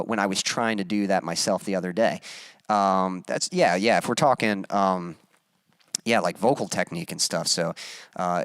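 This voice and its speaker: A man hosting his own music live stream, chatting casually with viewers and reading their comments aloud, with many pauses and fillers. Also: low voice, clean tone, no echo. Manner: relaxed, halting, many fillers, reads chat aloud.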